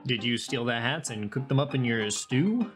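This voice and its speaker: A woman voicing a video game frog detective, earnest and polite, with a deadpan, deliberate manner. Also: a bass voice, soft background music. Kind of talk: video game character read-aloud.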